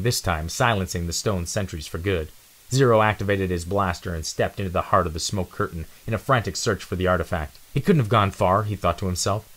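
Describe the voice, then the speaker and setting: Low-voiced man narrating an adventure story. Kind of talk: dramatic scripted storybook narration.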